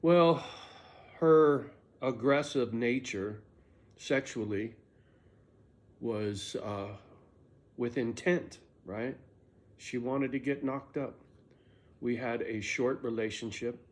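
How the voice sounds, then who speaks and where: A man's voice: medium pitch, takes it slowly, pauses often. A middle-aged man casually telling a story from his own life, blunt and profane, often tagging "right?" onto his sentences.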